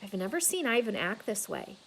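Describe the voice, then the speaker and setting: A woman voicing an earnest, excitable young girl in a storybook, insistent and eager. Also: mid-range voice, a little fast, a little hiss or hum, low-quality recording. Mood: concerned.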